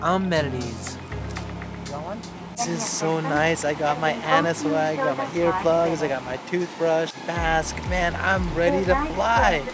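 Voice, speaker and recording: A man's voice: on the high side, fairly even intonation, unhurried, over loud music. A man in his thirties talking casually and upbeat into a camera held at arm's length from an airplane seat, with many "like" fillers. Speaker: travel vlogger. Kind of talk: casual travel vlog review. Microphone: handheld camera at arm's length.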